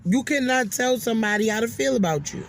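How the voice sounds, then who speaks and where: A woman's voice: a little high, quick pace, slight background noise. A woman hosting opinionated commentary, speaking in an emphatic, scolding manner and repeating phrases for stress. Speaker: host of a commentary and reaction channel. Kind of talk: opinionated social commentary, addressing an absent person.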